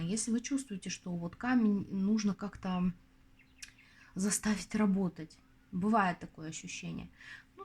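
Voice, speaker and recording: Young female host, tarot reader, confiding chatty delivery, voice rough and going hoarse. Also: medium pitch, a little mellow. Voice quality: husky. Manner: chatty, confiding, addresses viewers as girls. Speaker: tarot reader hosting her channel. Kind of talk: casual advice talk to viewers.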